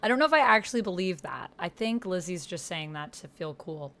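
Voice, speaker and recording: Low-pitched woman chatting on a livestream. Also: expressive, fairly quick, fairly bright tone. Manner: chatty, muttering to self while typing. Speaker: host of a live tabletop roleplay stream. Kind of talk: casual livestream chat, reading typed posts aloud. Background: computer keyboard typing.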